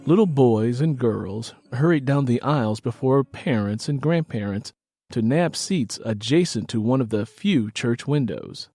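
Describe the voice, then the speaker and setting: Expressive male storyteller with lively pitch. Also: unhurried, no room sound, studio quality, soft background music. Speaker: audiobook narrator. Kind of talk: scripted dramatic story narration.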